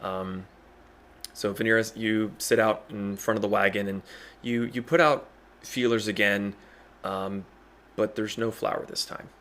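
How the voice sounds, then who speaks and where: A man acting as game master, improvising narration haltingly and thinking as he goes. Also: fairly low pitch, fairly even intonation, a bit bright.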